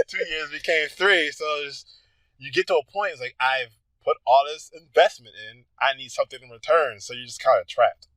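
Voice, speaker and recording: A man, a guest telling his story in casual conversation and acting out his past thoughts with a quick laugh. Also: medium pitch, a bit bright, no echo, rough recording. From Philadelphia, USA.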